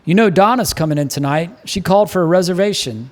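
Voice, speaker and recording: Man playing a wisecracking bartender, lifted lively pitch. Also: quick pace, natural voice. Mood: teasing. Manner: breezy, wisecracking banter. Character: ruddy Irish-American male bartender, bantering old pal.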